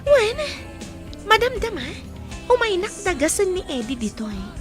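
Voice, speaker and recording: A woman's voice: very high voice, big pitch swings, measured pace, over music, exaggerated voice. A woman playing a scheming, sweetly affectionate young mistress in an Ilocano radio comedy drama, with an Ilocano accent. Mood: sweet, delighted.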